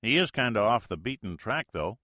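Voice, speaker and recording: A man's voice: fairly low pitch, very animated, brisk, sharp tone, no echo, studio quality, voice pushed up, exaggerated voice. A man reading an audiobook, voicing a wry, chatty Navy co-pilot.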